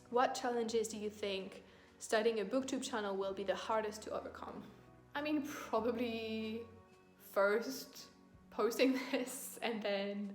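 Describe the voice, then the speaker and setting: A woman with a French accent talks through a casual book-tag video, thoughtful and a little hesitant, often pausing to find her words. Her voice carries an echoey room sound, with faint music playing underneath. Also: mid-range voice. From France. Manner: thoughtful, hesitant, many ums and restarts. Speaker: book vlogger.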